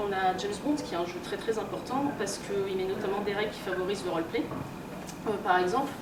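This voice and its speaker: A woman's voice: mid-range voice, far from the mic. A woman giving an informal public lecture in a conversational, hesitant way. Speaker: conference speaker, game publisher and researcher. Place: convention talk room, indoors. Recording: echoey room from afar, strong background noise.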